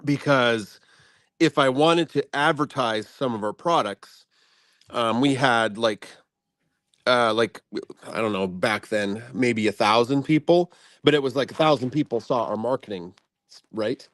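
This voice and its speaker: A man speaking as a guest in a casual chat, explaining things in a halting, self-effacing way. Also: medium pitch, a bit bright, no echo, studio quality. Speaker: guest speaker, science teacher and content creator.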